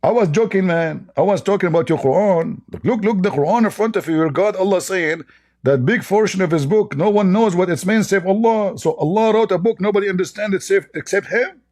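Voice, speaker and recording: A man's voice: high voice, fairly quick, darkish tone. A man whose first language is Arabic, hosting in casual commentary, sarcastic and mocking, often repeating himself. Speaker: host.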